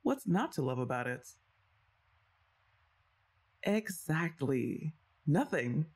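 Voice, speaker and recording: A young woman plays a best friend's older sister in an ASMR roleplay, chatty and teasing, laughing often. Her pitch moves a lot and sounds lively. She talks straight to the listener. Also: slow pace, breathy edge, heavily acted. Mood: playful. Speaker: voice actress.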